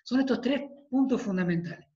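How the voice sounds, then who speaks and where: A man in his thirties with a Tucumán Argentine accent, a priest giving an emphatic devotional talk over a video call, repeating phrases for weight and clearing a tired throat. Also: very high voice, expressive, a little fast, dark tone. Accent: Tucumán Argentine Spanish. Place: study with bookshelves, indoors. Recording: roomy, dull video-call audio.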